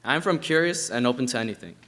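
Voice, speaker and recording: A man's voice: medium pitch, lively intonation, very fast, fairly bright tone. A young man from Queens, a college student reciting a personal spoken word poem. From Astoria, Queens, New York. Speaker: student performer.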